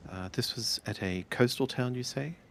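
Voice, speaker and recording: A man's voice: medium pitch, normal speed. A man playing a tabletop roleplaying game, improvising polite in-character dialogue in a hesitant, stammering way.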